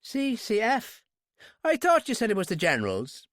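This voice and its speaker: Man putting on a gruff, rough-voiced Irish foreman. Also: wildly swooping pitch, normal speed, fairly bright tone, dry recording, studio quality, heavily acted. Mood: puzzled, blunt. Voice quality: deep, rough. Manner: no-nonsense, blunt. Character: gruff, suspicious middle-aged Irish oil-rig foreman. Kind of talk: audiobook character voice.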